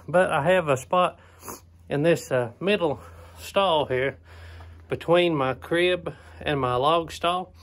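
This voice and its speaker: Folksy man, slightly high voice. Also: takes it slowly, fairly bright tone. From Eastern Kentucky. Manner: easygoing, folksy, with many "um" and "uh" fillers. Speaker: homesteader vlogger. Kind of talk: casual DIY vlog talk. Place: inside an old wooden barn. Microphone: phone camera at arm's length.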